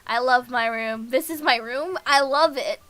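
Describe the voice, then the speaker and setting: A woman's voice: high-pitched, fairly bright tone, no echo. A woman streamer reading a visual novel aloud with casual asides, stumbling and correcting herself as she goes. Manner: halting reading with slips and self-corrections. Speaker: game streamer.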